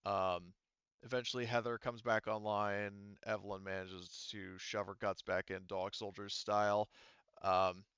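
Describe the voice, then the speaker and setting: A man running a tabletop roleplaying game, narrating off the cuff and thinking aloud in a halting way. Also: fairly low pitch, flat delivery, a bit bright, clear, resonant tone, no room sound, muffled recording. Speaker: game master.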